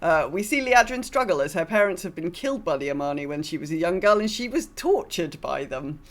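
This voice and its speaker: A woman's voice: lowish voice, lively intonation, brisk. A woman co-hosting a podcast, reading a game lore recap in a casual way with dry, sardonic asides. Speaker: podcast co-host.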